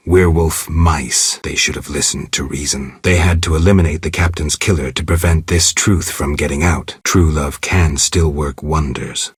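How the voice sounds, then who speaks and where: A man voicing a stoic, world-weary monster hunter in a video game, with a gravelly, husky voice and terse, deadpan delivery. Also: rumbling low, no room sound, clean studio sound, heavily acted. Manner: terse, deadpan, clipped phrases. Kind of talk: video game monologue, reflective narration.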